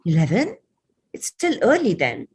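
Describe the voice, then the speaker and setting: A woman's voice: medium pitch, wildly swooping pitch, normal speed, darkish tone, slightly rough, voice pushed up, heavily acted. A woman voicing a proud, stubborn elderly mother in a poetry recitation, given to exclamatory questions and coaxing repetition. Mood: indignant scolding. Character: stubborn elderly mother, proud, scolding yet sweetly pleading.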